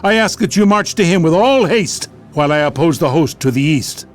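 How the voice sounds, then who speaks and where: A man's voice: fairly high pitch, expressive, brisk, soft background music, close to an everyday voice. Man as a grim, commanding marshal. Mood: urgent, firm. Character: stern, weary military marshal of a fantasy empire. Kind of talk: fantasy game cutscene acting.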